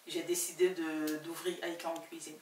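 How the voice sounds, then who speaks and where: A woman's voice: deep voice, little pitch movement, moderate pace, darkish tone. A woman with an Ivorian accent telling her own story casually as an interview guest, repeating phrases for emphasis. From Ivory Coast. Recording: roomy, distant mic, faint noise.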